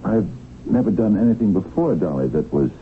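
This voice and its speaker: An actor playing a nervous, weak-willed, self-justifying swindler in a radio drama, hesitant and stammering. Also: male voice, on the low side, big pitch swings, brisk, narrow, tinny audio. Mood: nervous wavering. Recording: thin radio broadcast, faint hiss.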